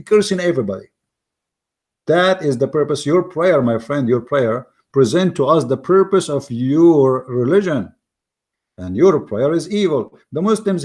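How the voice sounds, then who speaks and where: A man with an Arabic accent whose first language is Arabic, giving argumentative commentary in mocking, emphatic English with repeated words and halting restarts. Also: fairly high pitch, darkish tone. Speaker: online commentator. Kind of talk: argumentative commentary reading from an article.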